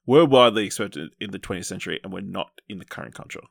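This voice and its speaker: A man's voice: low-pitched, expressive, fast, gravelly, breathy edge, no room sound. A man hosting a podcast, chatting casually about film and reading out news items.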